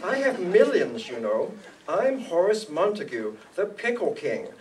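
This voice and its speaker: A man's voice: high voice, big pitch swings, unhurried. An older man playing a lonesome, boastful, eccentric millionaire in a comic old-time radio style. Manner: plaintive, boastful. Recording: distant room microphone, clicks, faint noise.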